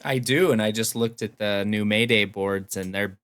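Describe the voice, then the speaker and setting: Smooth, lowish-voiced man. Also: normal speed, a bit bright, no room sound. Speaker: podcast co-host. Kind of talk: casual chat.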